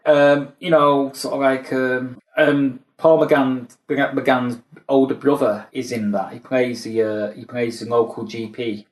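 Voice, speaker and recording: A British man in casual fan chat, speaking haltingly and often repeating words and phrases. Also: medium pitch, flat delivery. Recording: echoey room sound.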